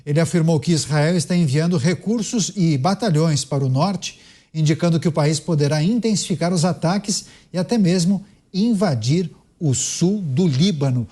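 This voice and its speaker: A man's voice: a little high, normal speed, warm tone. A Brazilian man hosting a news show, reading reports and moderating a panel.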